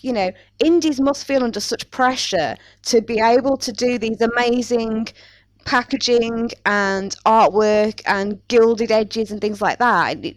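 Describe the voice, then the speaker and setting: A woman's voice: fairly high pitch, dry recording. A woman chatting casually as a podcast host about her interests. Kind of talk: casual book podcast chat.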